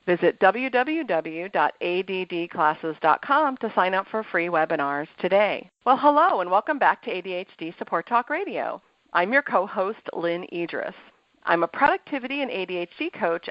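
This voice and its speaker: An upbeat woman hosts a podcast and delivers her lines smoothly and in a polished way. Her voice sits at a middle pitch. The sound is dry and close, a little dull. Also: no room sound. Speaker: podcast co-host and ADHD coach. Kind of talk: scripted show intro then interview.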